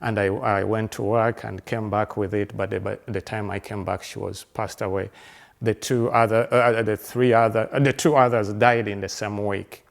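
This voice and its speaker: Halting, gravelly man in his fifties. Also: lowish voice, steady tone. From Uganda. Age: 50s. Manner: halting, with many fillers, restarts and repeated words; earnest and reflective. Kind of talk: reflective personal conversation.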